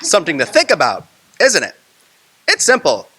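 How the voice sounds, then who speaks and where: A man reads a vintage radio commercial with upbeat persuasion. His voice sits a touch high. He sells with easy announcer polish. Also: huge pitch swings, normal speed, pushed and bright, slightly rough. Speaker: announcer and narrator.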